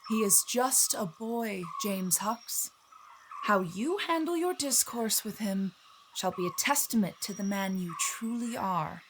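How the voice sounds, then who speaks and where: An actress playing a proud, wise giant talking bird who speaks formally and cryptically in an audio drama, disdainful of men. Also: female voice, mid-range voice, expressive, unhurried, background noise, close to an everyday voice. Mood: grave, warning.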